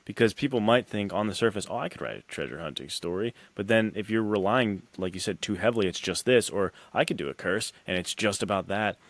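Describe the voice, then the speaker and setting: A man's voice: lowish voice, animated, a little fast, few pauses, no echo. A man hosting a casual podcast interview, talking informally and voicing imagined remarks as he goes. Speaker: podcast host and writer.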